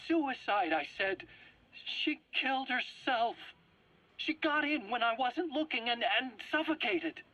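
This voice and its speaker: A man's voice: very high voice, expressive, a little slow, pushed and bright, no echo, full character voice. A man acting a nervous, defensive scientist under interrogation in a game drama, stammering and pleading as he protests. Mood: anxious, insistent. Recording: dull, muffled game cassette audio.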